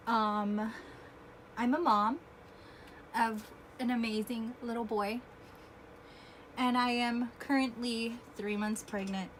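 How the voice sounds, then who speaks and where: A woman in her twenties talks through a makeup routine in an easy, chatty, self-deprecating way and often clears her throat. She speaks slowly in a slightly high voice. Her phone picks her up at home against a steady hiss of background noise. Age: 20s. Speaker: beauty vlogger. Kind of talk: casual makeup tutorial chat. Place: home room with air conditioner. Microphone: phone camera. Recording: noisy home phone recording.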